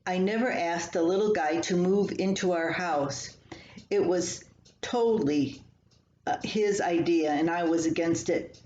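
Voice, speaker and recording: Slow-talking older woman in an echoey room. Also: on the low side, mellow. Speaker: columnist reading own work. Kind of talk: reading a humorous personal column aloud. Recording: echoey room, slightly dull, faint hiss.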